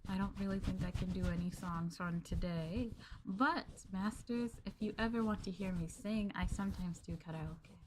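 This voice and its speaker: A woman's voice: medium pitch, moderate pace, noisy background. A woman streamer chatting casually with her viewers, addressing them as Masters and filling her talk with ums and likes. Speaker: VTuber streamer.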